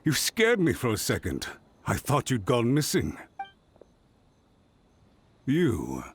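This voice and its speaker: An actor playing an anxious clan official in a video game, formal, flustered and pleading. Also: male voice, mid-range voice, wildly swooping pitch, slow pace, a little hiss or hum. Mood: suspicious. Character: anxious male clan official, fretful and apologetic.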